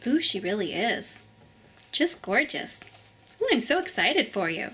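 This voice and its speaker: A woman's voice: medium pitch, very animated, a little slow, a bit bright, breathy edge, heavily acted. A woman voicing a kindly ice queen who runs a beauty salon, effusive and encouraging in doll play for children. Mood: gushing delight.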